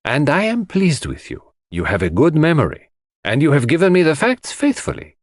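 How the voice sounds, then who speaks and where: A man voicing a fussy, theatrical middle-aged detective in an audiobook, emphatic and precise with dramatic pauses. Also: fairly high pitch, wildly swooping pitch, dry recording, clean studio sound, voice pushed up. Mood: reproving, indulgent. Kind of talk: audiobook character acting.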